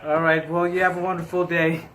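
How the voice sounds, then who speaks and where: A man's voice: a little high, flat delivery, brisk, heavy background noise. A man improvising prank phone calls, play-acting with a hesitant, stammering delivery. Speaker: prank caller.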